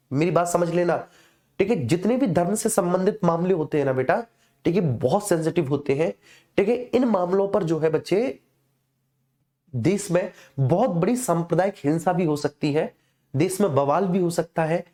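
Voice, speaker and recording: A woman teacher explains points to her class in an informal, didactic way. Her voice sits a little low and has a dark, relaxed tone. She repeats key phrases and keeps checking that students understand. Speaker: exam coaching teacher.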